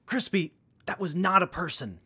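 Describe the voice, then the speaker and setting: A man's voice: high voice, animated, normal speed, bright, forceful tone, slightly rough, full character voice. A man playing an earnest, polite and brainy young crew member in a comic post-apocalyptic audio drama. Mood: worried. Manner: earnest, explanatory.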